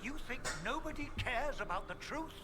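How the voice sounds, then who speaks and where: Brief: condescending cleric; high, swooping delivery; game ambience behind. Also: male voice, lively intonation, normal speed, over loud music. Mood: haughty. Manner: haughty, condescending. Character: pompous, self-righteous male church official. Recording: game audio with ambient noise.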